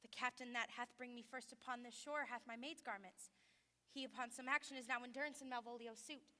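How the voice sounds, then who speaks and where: A sincere young woman disguised as a page, played by a woman speaks Shakespearean verse on stage. Her voice is high and clearly bright, with a long hall echo. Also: steady tone, brisk. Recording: echoey hall, live stage.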